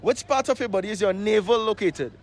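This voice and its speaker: A man's voice: high-pitched, a little fast, a little hiss or hum. A man with a Trinidadian accent hosting a comic street quiz, coaxing people along with short repeated phrases. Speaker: street interviewer and show host. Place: outdoors on a street.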